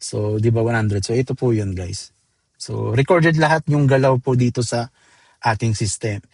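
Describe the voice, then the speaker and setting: A man's voice: medium pitch, normal speed, creaky, a little mellow, slightly rough, no room sound. Filipino man giving a casual, dry-sounding tutorial. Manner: informal, addresses viewers as guys, code-switches into English terms. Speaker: software tutorial presenter. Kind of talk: casual screen-demo tutorial, step-by-step.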